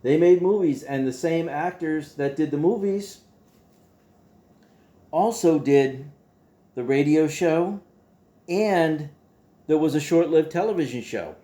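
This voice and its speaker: A man hosting a casual monologue to his viewers in a folksy, playful way, halting and repeating himself as he goes. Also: on the high side, warm tone. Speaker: host.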